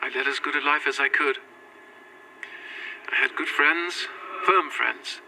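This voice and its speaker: A woman's voice: sits low, steady tone, unhurried, pushed and bright, slight background noise, exaggerated voice, low-quality recording. An actress playing a gracious, devoted Victorian lady in a gothic audio drama, with formal, earnest, old-fashioned speech. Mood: tender, wistful. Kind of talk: found-footage gothic audio drama acting.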